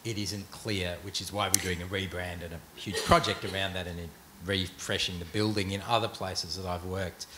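A man's voice: low voice, steady tone, normal speed, few pauses. A middle-aged man on a panel in a large auditorium, answering hesitantly with many restarts, speaking into a handheld mic. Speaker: panelist, museum executive. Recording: echoey hall, faint noise.

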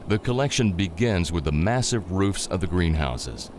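A man's voice: low voice, animated, normal speed, background noise. A man reading scripted promotional documentary narration as a narrator.

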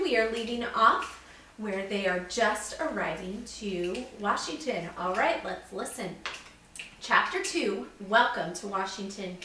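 A middle-aged woman, a teacher, doing an unhurried read-aloud for children and addressing them directly. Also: fairly high pitch, sharp tone. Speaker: second-grade teacher. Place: living room at home, indoors. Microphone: camera mic several feet away. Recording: echoey room, distant mic, background noise.

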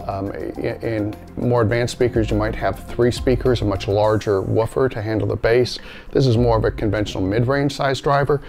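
Low-voiced middle-aged man explaining basics. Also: darkish tone. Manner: plain-spoken, explains step by step with examples. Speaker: company presenter explaining audio basics. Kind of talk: informal explainer to camera. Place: indoor listening room, seated.

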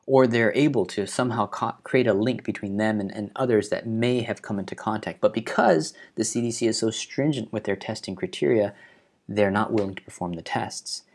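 Young guy from hawaii, lowish voice, earnest news rundown at home on a webcam. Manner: earnest, measured, stressing key numbers. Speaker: video host. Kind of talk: explanatory news commentary. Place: living room at home, indoors.

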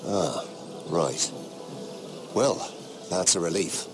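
An actor playing a courteous, wary middle-aged lord in a fantasy game, confiding gossip discreetly. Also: male voice, low-pitched, expressive, drawn-out words, over music, full character voice. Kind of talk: dramatic fantasy game acting.

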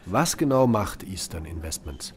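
An actor playing a businesslike police investigator in a radio crime drama, direct and questioning. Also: male voice, low-pitched, sing-song, normal speed. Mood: probing.

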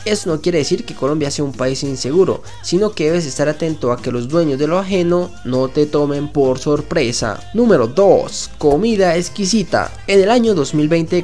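A man's voice: a little high, a little mellow. A man narrating a scripted numbered list video as its host, lifting his voice at each new item. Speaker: channel host. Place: voice-over over stock footage.

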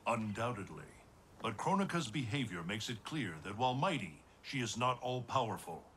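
A man's voice: mid-range voice, sing-song, normal speed, fairly bright tone, slight background noise. A man playing a wise, commanding thunder god in a video game, speaking in a formal, stately manner. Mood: solemn.

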